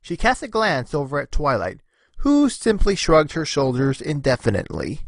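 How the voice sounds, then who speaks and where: A man's voice: fairly high pitch, very animated, moderate pace, dry recording. Male narrator for a scripted fan tale; very varied intonation, dry booth-like sound.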